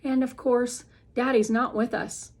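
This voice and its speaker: A woman narrating a children's novel as an expressive, deliberate read-aloud. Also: fairly high pitch.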